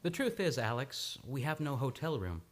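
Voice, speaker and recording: Woman playing troubled man, very deep for a female voice, sardonic, wry, radio play acting. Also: sing-song, moderate pace, exaggerated voice. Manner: wry, sarcastic. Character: troubled, sardonic male amnesia patient, posing as a husband. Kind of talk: live old-time radio play acting.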